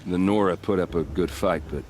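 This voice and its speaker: A man's voice: deep voice, fairly quick, background noise, close to an everyday voice. An actor playing a friendly, easygoing young male soldier in a game cutscene, talking in a casual, joking way. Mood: earnest. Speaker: game character. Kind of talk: video game cutscene dialogue.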